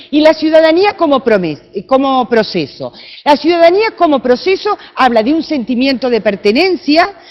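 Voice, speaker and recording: A woman with an Argentine accent giving an academic talk at a conference, often checking in with her audience through quick tag questions. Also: high-pitched. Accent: Argentine Spanish. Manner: animated, with frequent tag questions. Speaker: conference speaker. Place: conference room.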